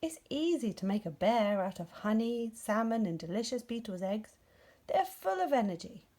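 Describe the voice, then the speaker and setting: A woman's voice: on the high side, very animated, moderate pace, dark tone. A woman voicing a grumpy, proud male rabbit in a children's story, explaining himself with indignant bluster.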